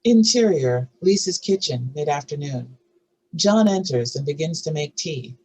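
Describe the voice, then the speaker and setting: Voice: mid-range voice, lively intonation, a little slow, a little mellow, slightly rough, rough recording. A narrator reading screenplay stage directions and scene headings aloud at a scripted table read.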